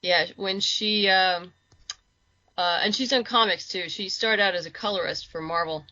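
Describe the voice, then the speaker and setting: Bright-voiced woman on muffled audio. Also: mid-range voice, moderate pace. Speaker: interview guest, comics creator. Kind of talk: casual chat.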